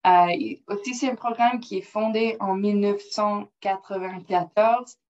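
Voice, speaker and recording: A woman whose first language is English presenting slides in French, careful and halting with non-native phrasing, over a computer mic on a video call. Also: mid-range voice, fairly even intonation, darkish tone. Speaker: project officer presenting. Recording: dull lo-fi call audio.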